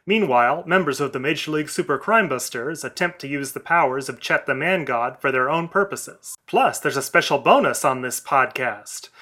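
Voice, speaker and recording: A man's voice: a little high, bright, forceful tone. A man giving a formal, scripted podcast introduction as its announcer.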